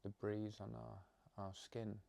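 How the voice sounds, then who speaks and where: A man leading an unscripted guided meditation, speaking slowly in short, halting phrases with long pauses between them. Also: on the low side, level tone, vocal fry, mellow. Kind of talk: guided meditation, spoken off the cuff.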